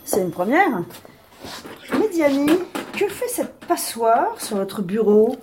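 A woman playing a stern police chief in a naturalistic audio drama, husky-voiced, dry, curt and authoritative. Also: sits high, sing-song, slow pace, background noise, heavily acted. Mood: dry, sceptical.

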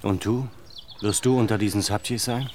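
A man's voice: fairly low pitch, fairly even intonation, normal speed, a little mellow, slight background noise, close to an everyday voice. A man playing a firm, probing leader. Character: determined landowner leader, adult man. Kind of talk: radio play acting.

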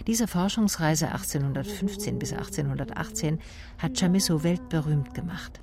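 A woman's voice: sits low, normal speed. An actress playing an observant female private detective, narrating her case in the first person for a radio drama. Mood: reflective. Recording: clearly noisy ambience behind voice.

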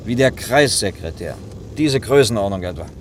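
An actor playing a patient, matter-of-fact driver in a naturalistic radio play, gently teasing as he talks. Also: on the low side, animated, normal speed, a little hiss or hum, lightly acted. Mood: explaining. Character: patient, reasonable working man driving a car.

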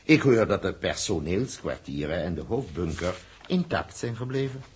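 An actor playing an evasive, condescending doctor in a 1960s Dutch radio drama, speaking formally and with measured pomp. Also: male voice, sits low, expressive, slight background noise.

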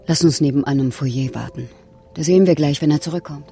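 A woman's voice: low voice, brisk, dark tone, soft background music. An actress playing a bold, teasing woman accomplice in a radio crime play, speaking assertively. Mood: businesslike. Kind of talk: German radio drama acting.

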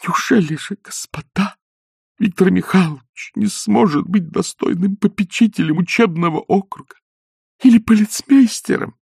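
A man's voice: sing-song, normal speed, pauses often, a little mellow, breathy edge, no echo, clean studio sound, full character voice. A man putting on a timid, gushing middle-aged lady. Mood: shrill indignation. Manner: pleading, gushing, shrill when upset. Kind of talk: audiobook character voice.

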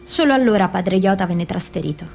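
A woman's voice: mid-range voice, lively intonation, a little fast, vocal fry, a little mellow. A grave woman testifying over music. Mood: grave, sorrowful. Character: female abuse survivor giving grave testimony. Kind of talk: scripted radio theatre testimony.

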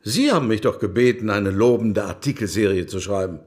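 A man's voice: lowish voice, a little fast, darkish tone, natural voice. An actor playing a defensive, placating male journalist and go-between in a German radio drama.

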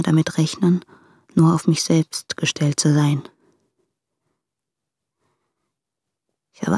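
Breathy, creaky-voiced woman torn in love. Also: deep voice, dry recording. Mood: resolute but pained. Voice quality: breathy, hushed. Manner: hesitant, subdued. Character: anguished married woman torn between husband and lover. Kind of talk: intimate German radio play monologue. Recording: close dry studio, music behind.

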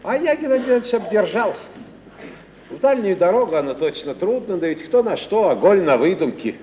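Down-at-heel touring comic for old radio comedy: chatty, humble, plaintive, high-pitched, slight background hiss. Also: male voice, sing-song, brisk. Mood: chatty, rueful. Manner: deferential, plaintive. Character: meek, shabby, chatty wandering male comic actor. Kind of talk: theatrical 1950s radio play acting. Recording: dull, faintly noisy 1950s radio recording.

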